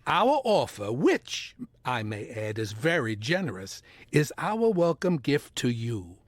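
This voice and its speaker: A man's voice: medium pitch, very animated, slow pace, no echo. A man playing a bossy retired mobster, precise, sardonic and menacingly polite, in a comedy audio drama. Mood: smooth menace. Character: bossy retired Italian-American mobster, exasperated and formal.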